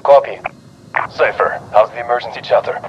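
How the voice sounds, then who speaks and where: An actor playing a focused special-operations agent in a game, speaking in terse tactical radio calls. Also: male voice, mid-range voice, a little fast, close to an everyday voice. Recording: muffled, noisy radio transmission.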